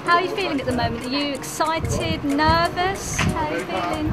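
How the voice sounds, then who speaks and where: A woman's voice: sits high, moderate pace. A woman interviewing contestants for a documentary, friendly and asking short questions. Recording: loud crowd and music behind.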